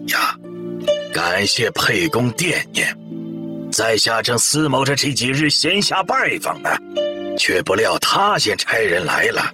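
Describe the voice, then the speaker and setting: A man's voice: mid-range voice, sing-song, measured pace, over music. A man playing a courteous village squire of ancient China, speaking formal, old-fashioned lines in an audio drama. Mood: courteous, grateful. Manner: formal archaic speech, sighing exclamations.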